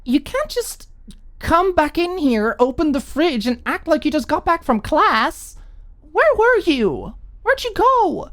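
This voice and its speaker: A woman playing a frazzled, anxious young woman who confronts her roommate in a shrill, indignant, pleading way in an audiobook drama. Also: big pitch swings, slow, a bit bright, heavily acted. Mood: indignant, worried.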